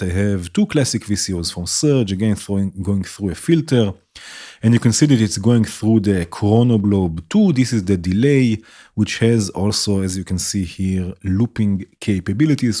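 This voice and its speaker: A man hosting a modular synth tutorial, explaining each step casually and often checking in with "right?". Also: fairly low pitch. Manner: relaxed, explaining while patching, frequent "right?" checks. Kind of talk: casual demo narration. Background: synth music playing.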